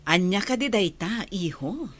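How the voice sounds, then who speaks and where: A woman's voice: medium pitch, expressive, unhurried, a bit bright. A woman playing a doting, inquisitive mother in a radio drama, drawing out her words coaxingly. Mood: coaxing, curious.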